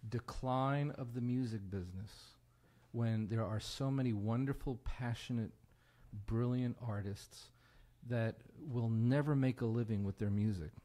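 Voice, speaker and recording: An American man with a mid-pitched voice answers as a guest in an interview. His delivery is earnest, conversational and a bit halting. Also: mellow. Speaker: guest musician and broadcaster.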